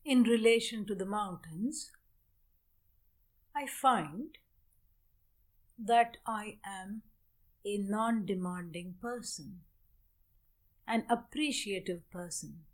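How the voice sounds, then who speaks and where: Slow-paced woman guiding a meditation. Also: mid-range voice. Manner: measured, instructional, with long pauses between short phrases. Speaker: meditation teacher. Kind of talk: guided meditation.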